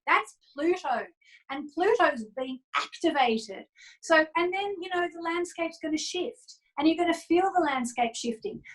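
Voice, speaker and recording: A woman's voice: very high-pitched, expressive, measured pace, poor audio. A woman speaking as an interview guest, explaining astrology in an earnest, informal and emphatic way.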